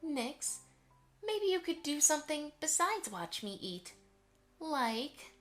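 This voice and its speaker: A woman voicing a gentle, affectionate mother pony who reminisces fondly in an amateur audio drama. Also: high voice, big pitch swings, takes it slowly, faint music behind, full character voice. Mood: gentle, slightly uneasy. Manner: affectionate, storytelling to a child.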